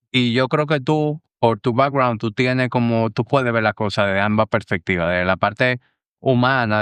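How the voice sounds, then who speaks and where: Relaxed Dominican podcast host. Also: male voice, medium pitch, smooth, dry recording, clean studio sound. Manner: relaxed, slangy, conversational. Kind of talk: casual interview chat.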